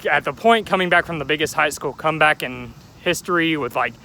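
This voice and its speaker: A man's voice: fairly high pitch, fairly quick, vocal fry, sharp tone, a little hiss or hum. A young man telling his life story in a rambling, chatty vlog, recorded outdoors near a railway. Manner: rambling, chatty, joking aside at passing trains. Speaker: vlogger.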